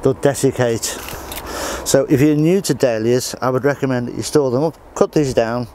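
A man's voice: mid-range voice, normal speed, warm tone. Middle-aged man, plain practical delivery, outdoor allotment, faint background hiss. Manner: plain, practical, talks while working. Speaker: allotment gardener. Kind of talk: casual how-to demonstration.

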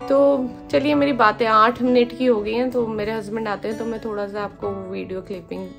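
A woman's voice: a little high, fairly even intonation, a little fast, creaky. A woman in her thirties from India with an Indian accent, chatting casually to a phone camera at arm's length in her bedroom, slipping English phrases into her talk. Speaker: vlogger. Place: bedroom at home. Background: music playing.

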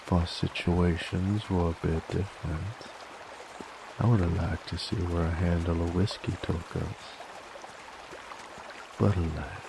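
A man playing a gruff, menacing werewolf bounty hunter in an audio roleplay, with an American Southern accent and a folksy, threatening cowboy drawl. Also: flat delivery, unhurried, long pauses. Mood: wry, boastful. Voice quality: very deep, gravelly. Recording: clear trickling stream noise behind. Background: flowing water ambience.

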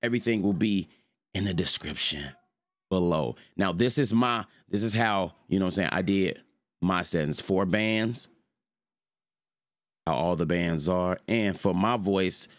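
A young man with a deep voice giving a loose, rambling, slangy tutorial on his streaming setup from his home room. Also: unhurried, long pauses, no echo, clean studio sound. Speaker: streamer showing mic settings. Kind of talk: casual screen-recorded tutorial.

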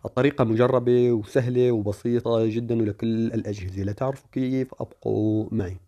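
A man's voice: medium pitch, steady tone, quick pace, warm tone, dull audio. A man giving a chatty, step-by-step phone tutorial. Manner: chatty, explaining step by step with fillers. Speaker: tech tutorial host. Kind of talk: casual screen-recorded phone tutorial.

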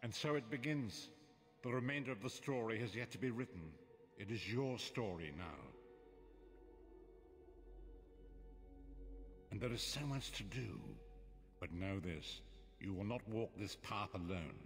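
An actor playing a solemn, wise old blind prophet in a fantasy video game, with a grave, measured, portentous way of telling things. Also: male voice, lowish voice, faint music behind, lightly acted. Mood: solemn, resolute. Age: older.

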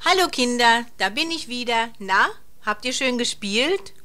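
A woman playing a brisk, homely mother in a children's radio play. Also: on the high side, lively intonation, bright, forceful tone, soft background music. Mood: cheerful, brisk.

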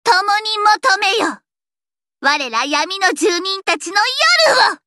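Casting: teenage anime game idol, piercingly high and unhurried, dark-fantasy pomposity over a bashful girlish core. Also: expressive, no echo, studio quality, full character voice. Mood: grandiose, dramatic. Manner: switches between pompous archaic proclamations and bashful plain girlish speech. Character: theatrical teenage idol girl, grandiose dark-fantasy poser, shy underneath. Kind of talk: anime mobile game voice lines.

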